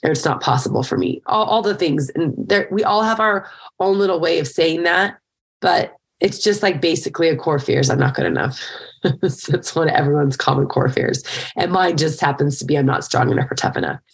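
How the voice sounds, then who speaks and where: A woman's voice: low voice, a little fast, gravelly, slightly rough. A middle-aged woman hosting her own podcast, talking casually and upbeat with a slight cold, chatty with fillers and small laughs. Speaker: podcast host and coach. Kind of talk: casual solo podcast talk.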